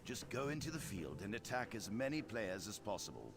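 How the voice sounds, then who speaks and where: An actor playing a hearty, grandiose battlemaster who relishes bloody arena games in a video game. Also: male voice, lowish voice, sing-song, a little fast. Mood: bloodthirsty relish. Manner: theatrical, grandiose. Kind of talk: video game character dialogue.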